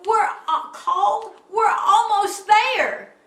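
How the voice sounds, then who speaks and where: A woman voicing an anxious, excitable mother toad from a storybook, fretful and full of exclamations. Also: very high voice, slow pace, voice pushed up, heavily acted. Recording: roomy, picked up from a distance.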